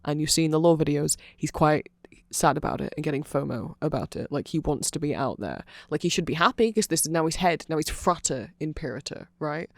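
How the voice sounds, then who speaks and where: A man's voice: on the high side, fairly quick, few pauses, no echo, studio quality, close to an everyday voice. A man voicing a nerdy vampire who gives a rambling, earnest and fannish ASMR explanation full of fillers. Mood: earnest, sympathetic.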